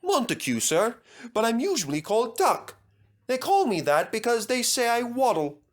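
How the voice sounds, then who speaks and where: A man voicing a polite, self-assured male tank engine with a calmly firm manner in a children's storybook. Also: extremely high pitch, moderate pace, pitched above the performer's own voice.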